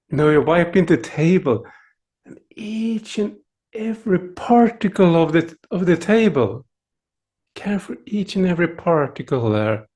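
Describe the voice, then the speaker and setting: A middle-aged Swedish man whose first language is Swedish gives a meditation talk in English with a Swedish accent, over a video call. His voice sits a little high for a man, and he speaks very slowly, pausing to find his words. He sounds gentle and playful and chuckles often as he teaches. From Sweden. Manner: unhurried, with pauses and searching for words. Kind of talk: dharma talk at an online retreat.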